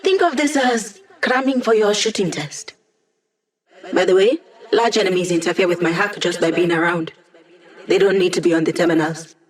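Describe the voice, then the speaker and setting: A woman's voice: on the low side, lightly acted. A woman voice actor playing an upbeat, wisecracking young woman hacker in a video game, casual, playful and teasing. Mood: casual, helpful.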